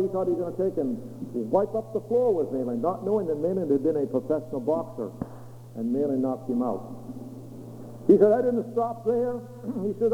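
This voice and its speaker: A man with a Maine accent preaching, telling testimonies with dramatic swoops and acted-out quotes. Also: high-pitched. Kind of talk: sermon testimony storytelling. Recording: echoey hall over a tinny lo-fi line with faint hum.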